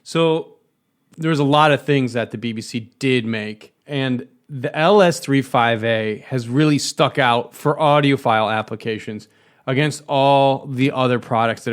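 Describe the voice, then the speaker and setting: An American man hosting a podcast interview in a casual, chatty, discursive way. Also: medium pitch. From United States. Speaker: podcast host.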